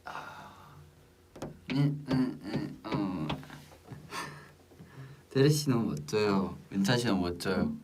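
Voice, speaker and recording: Slow-talking low-voiced young man, distant mic. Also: darkish tone, slight background noise. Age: young adult. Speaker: idol group member hosting a livestream. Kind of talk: casual livestream chat, reading viewer comments aloud.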